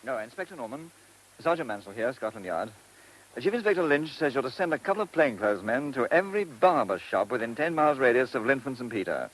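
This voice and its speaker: Dutiful mid-voiced police sergeant. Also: male voice, mid-range voice, very animated, brisk, fairly bright tone, low-quality recording. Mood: official, brisk. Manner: official, matter-of-fact. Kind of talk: vintage British radio play acting.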